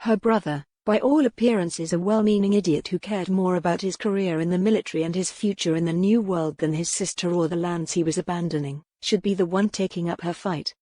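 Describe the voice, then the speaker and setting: A woman's voice: fairly low pitch, brisk, hardly any pauses, warm tone, dry recording. A woman reading scripted, dramatic narration from a historical romance novel as an audiobook narrator.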